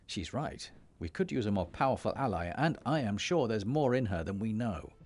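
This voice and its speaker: An actor playing a commanding, measured and decisive warrior leader in a fantasy video game. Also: male voice, lowish voice, expressive, darkish tone.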